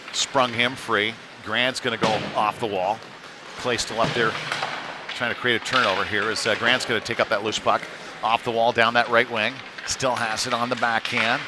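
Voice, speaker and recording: Male hockey commentator over clear rink noise. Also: lowish voice. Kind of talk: live sports commentary. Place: indoor ice rink during a live stream. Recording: noisy rink ambience behind the voice.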